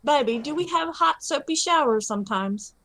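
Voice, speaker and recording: A woman's voice: sits high, animated, moderate pace, a bit bright, a little hiss or hum. A woman in her fifties telling cheeky, suggestive stories in a casual group voice chat, laughing as she teases. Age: 50s. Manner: flirty, giggly teasing storyteller.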